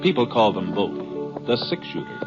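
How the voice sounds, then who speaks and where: A man announcing in a formal, measured, scripted 1950s radio style. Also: on the low side, big pitch swings, gravelly. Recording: dull 1950s radio recording, music behind.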